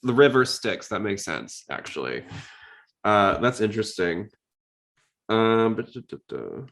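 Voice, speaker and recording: A man's voice: on the low side, very animated, measured pace, gravelly, a bit bright. A man hosting a podcast, talking casually about anime in a conversational way. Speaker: podcast host.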